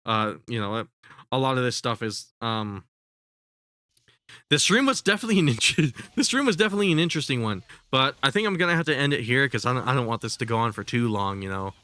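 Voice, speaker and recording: A man's voice: mid-range voice, animated, quick pace, bright, forceful tone, dry recording. A man commentating casually on a live stream, sounding congested, rambling with lots of fillers and swearing. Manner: rambling, profane, full of fillers and restarts.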